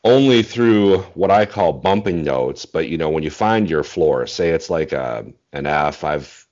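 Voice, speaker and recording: A man in his forties chatting as an interview guest about singing, thinking aloud in measured phrases on a video call. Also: very deep voice, lively intonation, darkish tone. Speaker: interview guest, bass singer. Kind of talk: casual interview chat about singing technique. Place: small indoor room at home, video call. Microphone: earphones on a video call. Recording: dull, muffled video-call audio.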